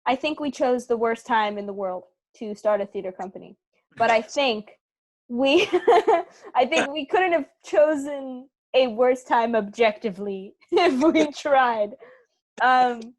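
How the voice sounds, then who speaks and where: A woman speaking casually as a guest in an interview about her creative work. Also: fairly high pitch. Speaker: interview guest, theater company co-founder.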